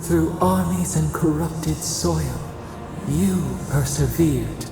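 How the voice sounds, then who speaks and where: Very slow, creaking ancient goddess. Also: female voice, sits low, exaggerated voice. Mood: solemn awe. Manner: solemn, measured, speaks as "we". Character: ancient imprisoned female godlike entity, solemn and pained. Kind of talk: video game voice acting. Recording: long echoing reverb, music behind.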